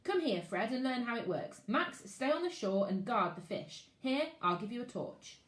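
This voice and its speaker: A woman's voice: a little high, very animated, normal speed. A woman reading aloud, voicing a commanding adult male jungle explorer who speaks in terse, instructive commands. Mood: brisk. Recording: roomy echo.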